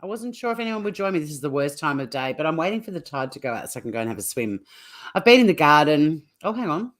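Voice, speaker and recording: A woman's voice: sits low, big pitch swings, fast talker. A woman hosting a casual livestream, chatting to viewers in an informal, teasing way. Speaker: livestream host.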